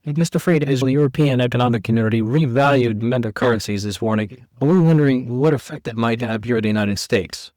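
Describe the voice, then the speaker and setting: A man's voice: medium pitch, fast talker, few pauses, a little mellow, no echo. A man working as a news reporter, putting an interview question to someone.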